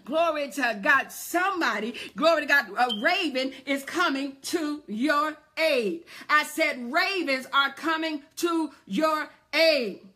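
A woman's voice: high voice, takes it slowly, hardly any pauses, sharp tone, a little hiss or hum. A woman preaching a fervent charismatic sermon, exhorting listeners with repeated refrains and call-and-response questions.

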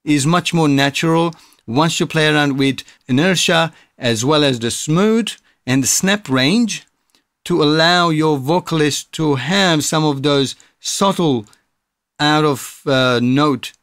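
Higher-pitched man giving a software tutorial. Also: takes it slowly, no echo, clean studio sound. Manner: explanatory, informal. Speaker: music production tutorial presenter. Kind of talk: casual software tutorial narration.

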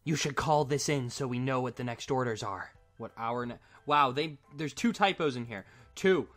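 A man's voice: mid-range voice, moderate pace, a bit bright, soft background music. A man reading amateur drama lines with wry asides about the script. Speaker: amateur voice actor in a fan audio drama.

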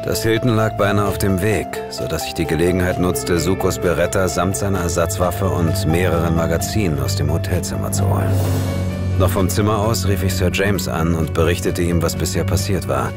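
A man playing a cool, resolute ghost hunter who narrates his own audio drama in terse, measured phrases. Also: low voice, natural voice. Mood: sober narrating. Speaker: narrator and lead actor. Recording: loud music bed under the voice.

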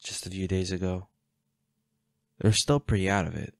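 A man playing a protective, defiant hunter boyfriend in an intimate roleplay audio drama, speaking unhurriedly with sighs and pauses. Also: deep voice, fairly even intonation, vocal fry, no echo, studio quality. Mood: fond, wry. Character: protective, defiant boyfriend, a monster hunter, tender but ruthless.

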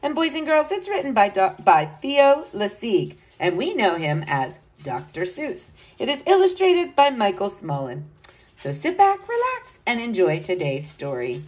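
A woman's voice: mid-range voice, huge pitch swings, normal speed, darkish tone, husky. A woman reading a picture book aloud to children, with a sing-song, questioning delivery.

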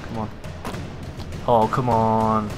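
Brief: male gaming commentator, slightly raspy, hyped, foul-mouthed, faint music underneath. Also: on the low side, slow. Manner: excitable, swears freely, hypes viewers. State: slightly raspy from long talking. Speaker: gaming YouTuber. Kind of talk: casual gameplay commentary.

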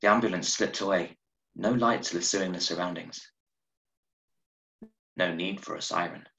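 A man in his thirties giving a measured, expressive reading of a short story over a video call. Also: low voice, gravelly. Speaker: story reader. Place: small room at home, on a video call. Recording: roomy, echoey video-call sound.

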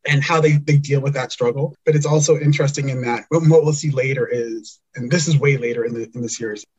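This is a man of middle pitch being interviewed on a podcast, talking through his creative work earnestly and thoughtfully, often restarting a thought midway. His voice has a husky edge, and the audio is a bit dull and muffled. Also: steady tone, fast, a little mellow. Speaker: podcast guest, fiction podcast creator. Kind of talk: conversational interview.

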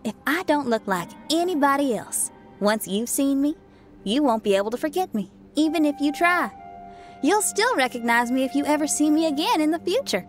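A woman's voice: sits high, animated, moderate pace, faint music behind. An actress playing a spirited young woman musician in a video game, colloquial, self-assured and encouraging.

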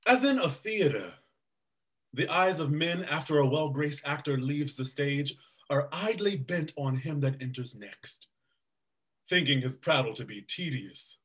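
A man voices a grave, loyal old duke. His voice is bright and a little high, and he speaks slowly. It is read over a webcam. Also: animated. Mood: solemn. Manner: measured storytelling, bursting into alarm. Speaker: actor in a staged reading. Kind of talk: Shakespeare verse reading. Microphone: webcam on a video call.

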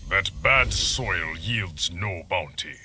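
Slow, imperious warrior emperor. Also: male voice, low-pitched, animated, sharp tone, slight background noise, full character voice. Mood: scornful. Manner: pompous, stately. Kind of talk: video game character dialogue.

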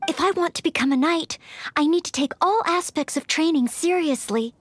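Woman as a very high, sweet young maid-knight. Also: moderate pace, close to an everyday voice. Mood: bashful, earnest. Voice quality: sweet, girlish. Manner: earnest, polite. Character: earnest, eager, polite young maid training as a knight. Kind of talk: video game voice acting.

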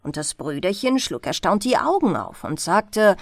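A woman narrating a bedtime fairy tale in a gentle, soothing, expressive storytelling manner. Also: mid-range voice, normal speed, gravelly, no echo, clean studio sound. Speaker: audiobook narrator.

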